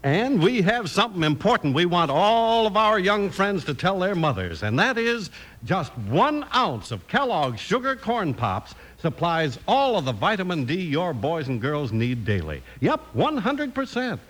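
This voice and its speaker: A man's voice: high-pitched, big pitch swings, unhurried, a bit bright. A man announcing in scripted old-time radio style, with an emphatic, polished sales delivery.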